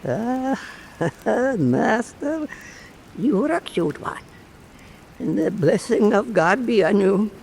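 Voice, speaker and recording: Actor as a slow-spoken old storyteller. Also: male voice, high-pitched, very animated, vocal fry, darkish tone, slight background noise, heavily acted, rough recording. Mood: wry, playful. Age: older. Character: old blind Irish island storyteller, wry and pious. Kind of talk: radio drama folk storytelling.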